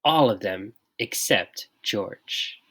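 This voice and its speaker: Slow, very animated storybook reader. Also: low voice. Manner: animated, unhurried, dramatic pauses. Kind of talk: expressive children's picture book read-aloud.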